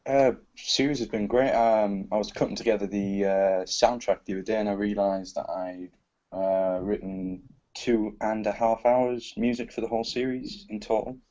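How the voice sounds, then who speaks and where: A man's voice: lowish voice, fairly even intonation, moderate pace, warm tone, muffled recording. A man who works as a composer, answering casually in an interview.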